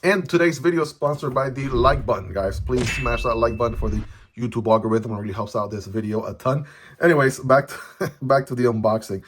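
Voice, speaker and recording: A man's voice: medium pitch, fairly quick. A man whose first language is Chinese, chatting casually through a product unboxing, often tagging his sentences with "right?". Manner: chatty, frequent "right?" tag questions. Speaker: product reviewer. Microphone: phone camera.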